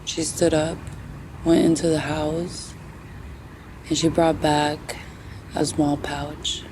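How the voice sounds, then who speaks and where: A woman playing a grown grandchild who speaks softly and reflectively in an audio drama monologue. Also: deep voice, level tone, takes it slowly, a little hiss or hum, pitched below the performer's own voice, poor audio.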